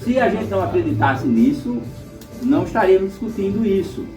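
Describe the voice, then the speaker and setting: Man, animated pitch, explanatory, music behind. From Rio Grande do Norte, Brazil. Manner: measured, explanatory, rhetorical questions. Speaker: panel speaker. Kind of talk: lecture-like discussion. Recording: echoey room, distant mic, music behind.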